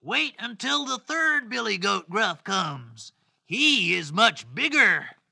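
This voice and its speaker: A man's voice: high voice, very animated, takes it slowly, creaky, pushed and bright, no echo, studio quality, pitched above the performer's own voice, heavily acted. A man voicing a flustered middle billy goat brother who bargains eagerly, in a children's storybook.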